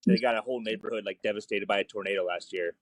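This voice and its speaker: A man's voice: on the low side, steady tone, racing, no echo. A young man, a guest filmmaker talking frankly and easily in a casual podcast chat. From New Orleans. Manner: frank, easygoing, laughs often.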